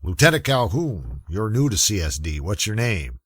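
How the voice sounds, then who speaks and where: A man playing a gruff, sardonic veteran police lieutenant in a radio detective drama, curt and commanding. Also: fairly low pitch, big pitch swings, normal speed, no echo, studio quality, voice pushed up. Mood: brisk, sardonic. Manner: curt, commanding, hard-boiled. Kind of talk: old-time radio detective acting.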